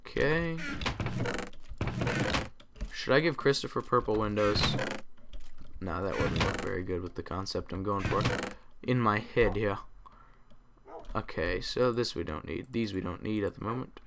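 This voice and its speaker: A young man hosting a gaming channel, tired and a bit raspy, rambling casually to himself as he plays. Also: fairly low pitch, lively intonation, measured pace, pauses often. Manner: rambling, talks to himself while playing. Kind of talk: casual gameplay commentary. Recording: clear typing noise behind. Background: keyboard typing and clicks.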